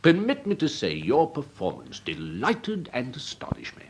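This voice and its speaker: An actor playing a courteous elderly English army major with an upper-class accent, urbane and formal, in a period radio drama. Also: male voice, medium pitch, huge pitch swings, normal speed, a little hiss or hum. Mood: smooth flattery. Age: older.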